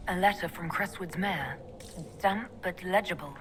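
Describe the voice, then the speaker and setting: An actress playing a sharp, cold female elf mage who gives brisk, matter-of-fact commands in video game dialogue. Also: fairly low pitch, natural voice. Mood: cool. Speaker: player character. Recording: game audio with clear background noise.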